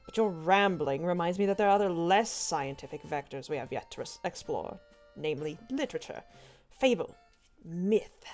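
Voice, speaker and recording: Woman voicing brusque, gruff old scholarly uncle. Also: on the low side, expressive, moderate pace, darkish tone, soft background music, natural voice. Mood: brusque, thoughtful. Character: gruff, impatient older uncle, scholarly and exasperated.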